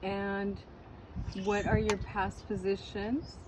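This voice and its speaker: A woman's voice: medium pitch, flat delivery, slow pace, background noise. A woman interviewer who asks short, direct questions and answers with brief affirmations.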